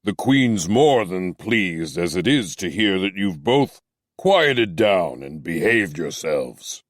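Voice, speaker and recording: Male narrator doing captain: deep, slow, measured, gently stern; dry booth. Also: big pitch swings, dry recording, exaggerated voice. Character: stern but kindly male captain, middle-aged. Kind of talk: audiobook character voice.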